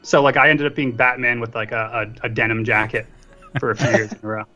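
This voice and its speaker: A man's voice: medium pitch, level tone, quick pace, bright, forceful tone. A man in a casual film discussion, talking in a joking, self-deprecating way. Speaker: podcast guest co-reviewer. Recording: dull lo-fi audio, faint music.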